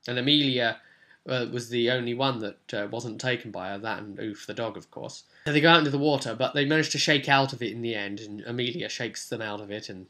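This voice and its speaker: A man's voice: mid-range voice, fairly quick, sharp tone. A man speaking as a game master, casually recounting a tabletop session with conversational, explanatory asides. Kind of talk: casual rambling session recap.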